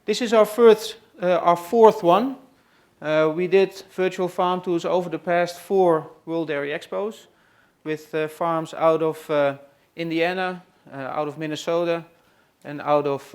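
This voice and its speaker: A man's voice: fairly high pitch, measured pace. A man from the Netherlands with a Dutch accent, introducing a family to a live audience and hesitating often with fillers. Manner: hesitant, many "uh" and "let's say" fillers. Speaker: presenter hosting a farm tour session. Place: room at a trade expo.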